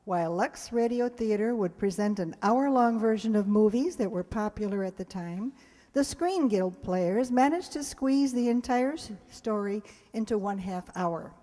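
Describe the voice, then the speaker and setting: A woman host talks chattily to a live audience. Her voice is soft-edged and mellow at a middle pitch, and a long hall reverb surrounds it. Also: expressive, moderate pace. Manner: chatty, engages the audience with questions. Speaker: host introducing a radio play to an audience. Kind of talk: live spoken introduction with trivia and audience questions.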